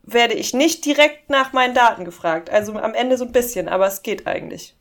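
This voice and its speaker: A woman's voice: fairly high pitch, animated. Amused German woman chatting casually. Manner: amused, giggly, reads English slowly and mockingly. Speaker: podcast co-host. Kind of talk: casual chat, reading scam emails aloud.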